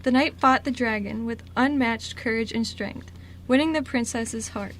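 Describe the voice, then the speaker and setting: A woman's voice: on the high side, moderate pace. A woman reading scripted story narration for an amateur radio drama. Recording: noisy amateur radio recording.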